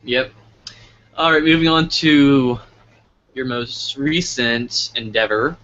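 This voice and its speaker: A man hosts a casual interview in a bright, forceful voice of middling pitch. The audio is somewhat dull and lo-fi. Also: slow pace. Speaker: interviewer, host.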